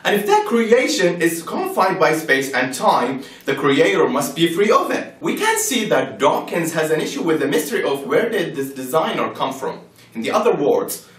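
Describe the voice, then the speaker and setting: A man's voice: high-pitched, fairly bright tone. A man giving a scripted, persuasive argument with rhetorical questions in an emphatic, argumentative manner. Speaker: presenter of a religious argument. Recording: echoey room, distant from the mic.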